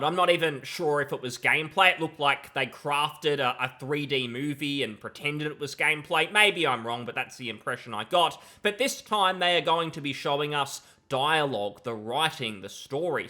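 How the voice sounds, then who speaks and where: A man's voice: fairly high pitch, pushed and bright. A young adult man giving casual, opinionated reaction commentary in a sardonic, dismissive manner, on a close mic in a small room. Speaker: video commentator. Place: small plain room indoors. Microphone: close boom-arm mic, headset on.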